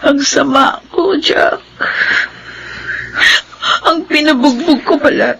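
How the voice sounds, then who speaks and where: A woman's voice: high-pitched, takes it slowly, gravelly, a bit bright, heavily acted, poor audio. A woman playing a guilt-ridden young daughter, crying and ashamed, in a Filipino radio drama. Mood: tearful remorse.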